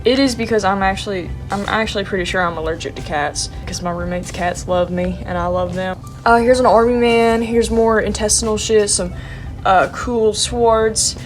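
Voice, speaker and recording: A woman's voice: medium pitch, normal speed. Young woman, congested, sniffly, slangy rambling, faint music behind. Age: young adult. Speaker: artist showing her sketchbook. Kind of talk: casual vlog chat.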